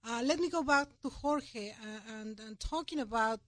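A woman's voice: on the high side, normal speed, no room sound. A woman hosts the interview in a chatty, friendly manner. She keeps backing up her guests with short sounds of agreement. The recording is dry and close. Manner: chatty, frequent affirming fillers. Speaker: interviewer host.

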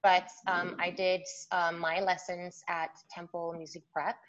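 A woman's voice: on the low side, little pitch movement, unhurried, a loud crowd. Chatty woman pianist on a muffled line. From Norway. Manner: chatty, warm storytelling with frequent fillers. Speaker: pianist guest. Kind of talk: casual interview chat. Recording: muffled remote line with faint hiss.

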